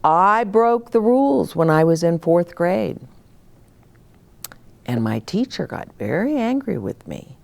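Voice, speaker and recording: A woman's voice: lowish voice, vocal fry. An older woman hosting a children's story time, speaking simply and expressively to young children as she shares stories and advice. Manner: simple, expressive, addressing children directly, with dramatic pauses.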